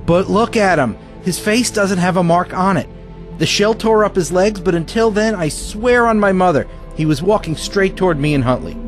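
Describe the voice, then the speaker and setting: A man voices a young American private who cannot shake what he saw. His voice is high and jumps about, urgent and insistent. Music plays beneath the audio drama. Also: expressive, normal speed.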